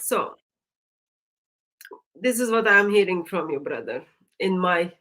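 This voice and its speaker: A woman with a Turkish accent, a native Turkish speaker, hosting a livestream discussion and talking haltingly with many ums. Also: on the low side, expressive, fairly bright tone. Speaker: stream host.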